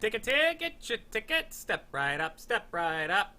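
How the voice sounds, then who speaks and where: A man's voice: high voice, sing-song, moderate pace, bright, forceful tone, slight background noise, full character voice. A man playing a circus ticket barker calling out to the crowd in a 1940s-style radio drama read. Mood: barking. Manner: sing-song barking calls.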